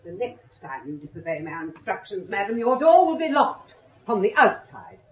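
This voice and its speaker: An actress playing a stern, controlling old matriarch in a radio horror drama, formal, severe and imperious. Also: female voice, medium pitch, big pitch swings, normal speed, breathy edge. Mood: icy, commanding. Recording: dull, muffled old radio recording.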